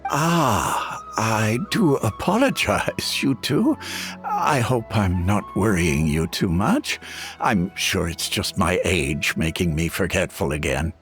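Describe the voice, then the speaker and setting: A man's voice: low voice, sing-song, slow pace, fairly bright tone, faint music behind, heavily acted. A man voicing a polite, forgetful old village elder in a video game. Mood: puzzled. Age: older. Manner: polite, hesitant, apologetic.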